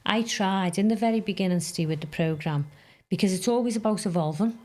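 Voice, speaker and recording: A woman's voice: mid-range voice, brisk, dark tone. A woman in her fifties, a youth mentor, talking earnestly and telling stories in a podcast conversation. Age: 50s. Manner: earnest, storytelling, emphatic about goals.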